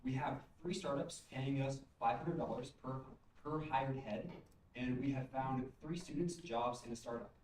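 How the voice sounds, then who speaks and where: A young man delivering a rehearsed startup pitch as a student founder. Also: normal speed, a little mellow. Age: young adult. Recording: roomy, distant mic, faint noise.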